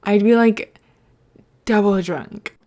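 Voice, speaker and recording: A woman's voice: medium pitch, slow pace, mellow. A woman voicing a bemused, grumbling young man with a dry, reluctant manner in podfic dialogue.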